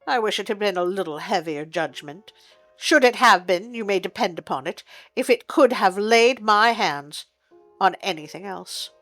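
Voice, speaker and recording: A woman's voice: medium pitch, expressive, normal speed, a bit bright, soft background music. A woman voicing a brazen, defiant thieving servant woman in a storybook reading, bold and scornful.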